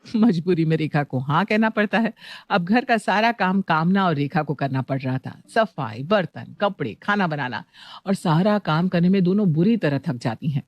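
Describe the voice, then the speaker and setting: A woman's voice: fairly low pitch, lively intonation, moderate pace, no room sound. A woman working as narrator, reading a scripted story.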